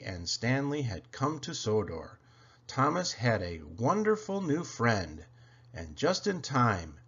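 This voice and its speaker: A man reading a children's picture book aloud in an expressive, emphatic storytelling way. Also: mid-range voice, breathy edge. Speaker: storybook reader. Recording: dull, lo-fi home recording.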